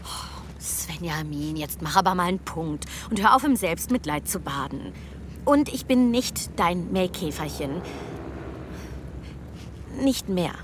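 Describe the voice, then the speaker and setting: A woman's voice: fairly low pitch, lively intonation, unhurried, long pauses. An actress playing a supportive but no-nonsense woman confidante in an audio drama. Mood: exasperated. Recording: noticeable noise around the voice.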